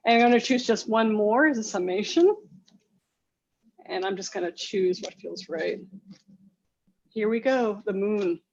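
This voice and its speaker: A woman reads tarot off the cuff on a lo-fi, muffled video call. Her pitch sits in an average female range, and she speaks with emphasis and affirmation. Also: measured pace, long pauses, a little hiss or hum. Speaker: tarot reader. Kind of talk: spontaneous tarot reading on a group video call. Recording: dull lo-fi video call audio.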